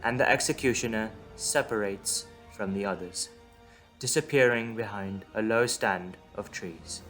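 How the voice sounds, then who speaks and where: A man's voice: lowish voice, a little slow. A man reading scripted dramatic narration as the narrator of an audio drama. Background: music.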